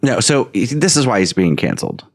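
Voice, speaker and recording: Male podcast co-host, teasing and playful, wide pitch swings, dry close mic. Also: very animated, fairly quick, creaky, no echo. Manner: teasing, reads articles aloud. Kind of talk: casual chat.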